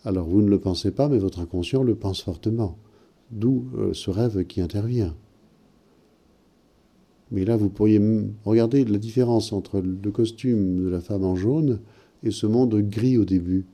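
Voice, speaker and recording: Male host, calm and probing in his questions, deep dark tone. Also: a little slow. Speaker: host interpreting dreams. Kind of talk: counselling talk.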